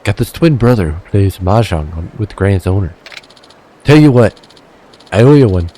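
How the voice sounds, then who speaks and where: A man's voice: fairly low pitch, expressive, normal speed, mellow. A man voicing a brash, wheeling-dealing male fixer with a shaky attempt at a Minnesotan accent, pushy and threatening on the phone. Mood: scheming.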